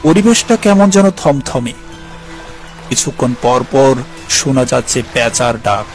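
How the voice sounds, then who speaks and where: Man, story narrator, medium pitch, dramatic scripted delivery, soft music behind. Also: animated, unhurried. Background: background music.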